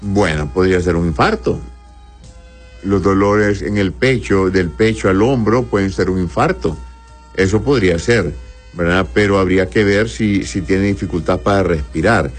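Deep-voiced Honduran man giving medical advice. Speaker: doctor answering audience health questions. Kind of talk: informal medical advice, reading questions aloud.

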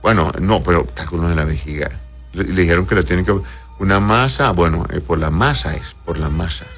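A man's voice: low-pitched, brisk, a bit bright, slightly rough, faint music behind. A man speaking as a doctor hosting an advice show, conversational and avuncular, answering listeners with endearments and frequent checking tags. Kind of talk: conversational medical advice, reading messages aloud.

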